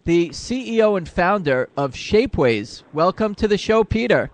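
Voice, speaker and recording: A man's voice: high-pitched, lively intonation, normal speed. A man hosting a radio interview, asking long, rambling questions with eager interest while he searches for words. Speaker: radio show host.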